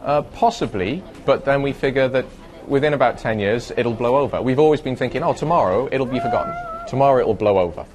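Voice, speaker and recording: A man's voice: medium pitch, huge pitch swings, fairly quick, noisy background. A man interviewed in a studio as a guest, chatty, a little hesitant and wry. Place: broadcast studio.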